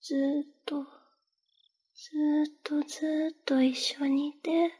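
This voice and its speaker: A young woman with a Kansai accent voicing a clingy, possessive, lovesick young woman in a breathy close whisper for an ASMR roleplay. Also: high-pitched, level tone, takes it slowly, mellow. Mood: pleading.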